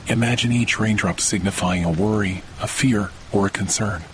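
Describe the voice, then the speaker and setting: A man narrating a scripted guided sleep meditation in a slow, soothing, deliberate way with long pauses. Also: on the low side, background noise. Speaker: podcast host, meditation guide. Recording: steady rain and thunder behind voice. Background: rainfall with thunder.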